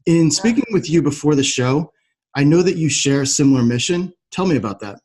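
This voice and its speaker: A man's voice: on the high side, expressive, a little fast, darkish tone. A man speaking as the host of a podcast interview.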